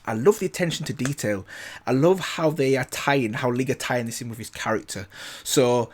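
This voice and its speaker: A man's voice: medium pitch, fairly quick. Man gushing in a casual reaction. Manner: enthusiastic, rambling, lots of fillers. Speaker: reaction video host.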